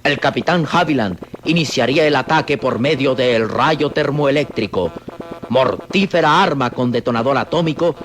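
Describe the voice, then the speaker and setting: A man narrating a scripted radio drama solemnly, in measured phrases with dramatic pauses. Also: medium pitch. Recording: vintage radio drama with music. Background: dramatic music.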